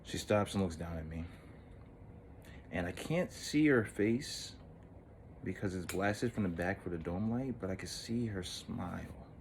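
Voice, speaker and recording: A man playing an earnest, lovestruck thirteen-year-old boy in an intimate, halting, wistful dramatic monologue. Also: sits low, lively intonation, creaky, a little hiss or hum, heavily acted. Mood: uneasy, subdued.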